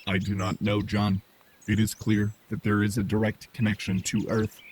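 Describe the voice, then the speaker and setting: Sci-fi drama AI companion, male performer: monotone, low, matter-of-fact, formal; noisy background. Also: lightly acted. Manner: measured, emotionless, formal. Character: Pine, matter-of-fact analytical artificial intelligence companion.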